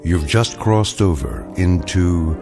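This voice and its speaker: An actor as a grave, mysterious anthology narrator, delivering scripted radio drama narration with measured, ominous phrasing and dramatic pauses. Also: male voice, sits low, animated, over loud music.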